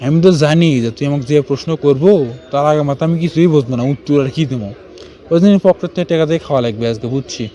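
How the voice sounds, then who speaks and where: A man's voice: fairly high pitch, rapid-fire, warm tone, highs cut off, lightly acted. A man with a northern Bangladeshi accent playing a cheeky, grumbling young friend in an amateur cartoon. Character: cheeky young man nagging his stingy friend for treats.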